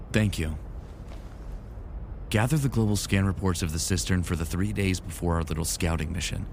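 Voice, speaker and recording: Deep, gravelly man playing a brooding space soldier. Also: darkish tone, slight background noise. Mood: businesslike. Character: weary, remorseful male Titan space soldier and narrator. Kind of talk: first-person dramatic narration and dialogue, sci-fi audio drama.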